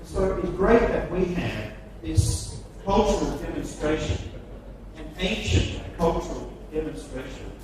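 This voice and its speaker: An Australian man giving a seminar lecture, speaking slowly with emphatic, repetitive phrasing and heavy stresses. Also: fairly high pitch, lively intonation. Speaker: guest lecturer. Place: large lecture hall. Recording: very echoey hall from far off, noisy.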